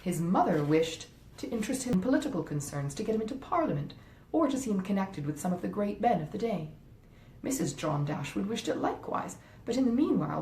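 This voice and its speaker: A woman reading a classic novel aloud as scripted literary narration. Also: a little mellow. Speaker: audiobook reader, author reading a classic novel. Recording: roomy echo, faint rumbling noise.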